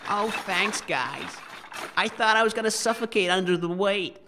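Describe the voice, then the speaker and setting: A man playing an eccentric, friendly junk-collecting wanderer in a comic audio drama, affable and quietly proud as he rambles. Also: high-pitched, normal speed, slight background noise. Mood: grateful, affable.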